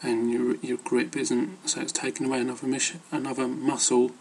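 A man talks off the cuff like an amateur fitness vlogger. His voice is gravelly and stays in a narrow, monotone range. He hesitates and rambles loosely over slight ambient noise. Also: medium pitch, normal speed. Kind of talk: casual rambling advice talk.

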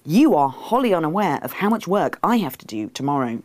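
A woman's voice: deep voice, sing-song, brisk, darkish tone, no echo. A woman voicing a sleepy, exasperated husband who grunts and answers reluctantly in a comic book reading. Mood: despairing. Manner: grunting, despairing, reluctant replies.

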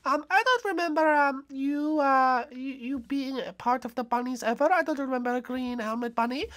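Woman as a high-voiced polite bunny. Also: a bit bright. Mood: polite, curious. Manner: halting, courteous. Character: polite, hesitant bunny greeting a newcomer. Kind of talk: improvised video game roleplay.

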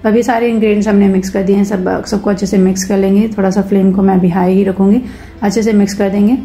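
A woman's voice: mid-range voice, level tone, quick pace, dark tone. A woman hosting her own cooking channel, talking through a recipe step by step in a casual voice-over and mixing English cooking terms into her sentences.

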